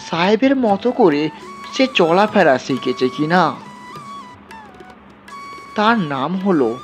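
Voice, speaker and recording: Male storyteller, dramatic scripted narration, lively swings in pitch, music underneath. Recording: dull, lo-fi sound.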